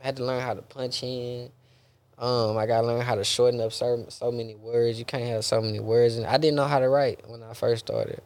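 A young man talks in a loose, rambling way, full of bro and man. His voice has a gravelly edge at a middling pitch. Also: fairly even intonation, brisk, a little mellow. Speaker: gospel rapper guest. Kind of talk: casual interview chat.

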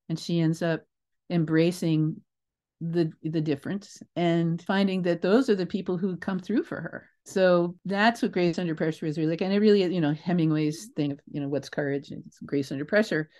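Woman with a lowish voice chatting in an interview, thoughtful, goes off on little tangents. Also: darkish tone. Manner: reflective, rambling with asides and self-corrections. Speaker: guest, theatre writer and creator. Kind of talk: conversational podcast interview.

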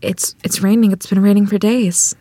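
A woman's voice: mid-range voice, fairly even intonation, normal speed, dark tone, husky, dry recording, close to an everyday voice. A woman playing an anxious young farm wife and mother in a period screenplay table read, hesitant and pleading. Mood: uneasy, defensive.

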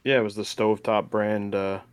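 A man's voice: on the low side, level tone, a little fast, smooth, no echo. A man co-hosting a podcast, answering briefly in a matter-of-fact way. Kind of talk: casual chat.

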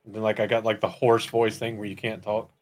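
A man's voice: on the low side, level tone, brisk. A man co-hosting a podcast in a casual, colloquial, joking way, as in chat and plugs. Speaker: podcast co-host.

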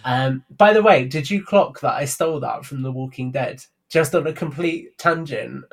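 A man's voice: medium pitch, moderate pace, creaky. British man in casual podcast chat. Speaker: podcast guest, audio drama writer and producer.

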